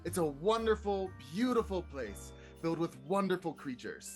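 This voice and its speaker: A man's voice: high-pitched, big pitch swings, moderate pace. Man playing a folksy old snowman over music. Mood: fatherly, wistful. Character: friendly old male animated snowman, folksy and wistful. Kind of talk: live table read of a comedy film script.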